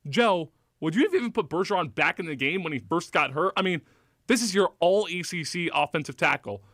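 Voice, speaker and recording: A man's voice: fairly high pitch, lively intonation, fairly quick, fairly bright tone, no room sound. A man hosting a sports talk radio show, speaking into a studio mic with a polished, emphatic delivery. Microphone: close studio microphone.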